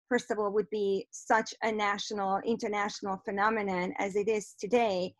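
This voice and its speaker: A middle-aged woman from Hungary with a Hungarian accent, leading an online teacher workshop over a video call and warmly reading out participants' chat replies. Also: medium pitch, no room sound. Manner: warm, encouraging, reads out chat replies. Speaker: professor leading a webinar. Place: home office on a video call. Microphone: computer mic on a video call.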